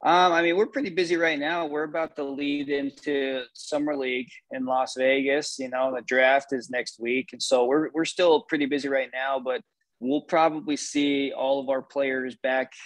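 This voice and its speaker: A middle-aged man, a sports performance executive, talking in a measured, reflective way with many casual fillers. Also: mid-range voice, little pitch movement, dry recording, rough recording. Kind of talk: casual interview over a call.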